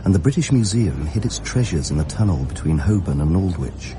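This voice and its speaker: An actor playing a solemn chronicler, delivering scripted narration in a radio drama in a measured, deliberate way. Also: male voice, low voice, big pitch swings, background noise. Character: solemn male chronicler of Underground facts.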